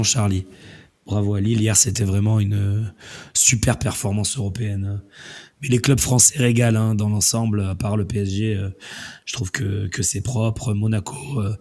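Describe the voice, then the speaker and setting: Male radio host, low-ish voice, losing his voice to a cold; easygoing, rambling delivery. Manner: relaxed, rambling, many fillers. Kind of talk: casual call-in chat.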